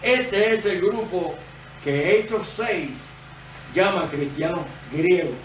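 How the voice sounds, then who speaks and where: Male preacher, Puerto Rican accent, slow and methodical, heard from a distance. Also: high-pitched, over music. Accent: Puerto Rican Spanish. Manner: measured, explanatory preaching. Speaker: associate pastor. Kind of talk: sermon. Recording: roomy, distant church recording with faint noise.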